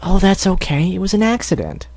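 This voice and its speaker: Man voicing a shy young filly, soft, hesitant, lifted pitch, storybook reading, dry sound. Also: sing-song, brisk, no room sound.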